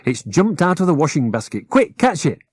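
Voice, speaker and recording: A man takes the part of a cheeky little girl rag doll in a storybook. She is brisk and teasing, raised in pitch and lively in tune. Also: husky.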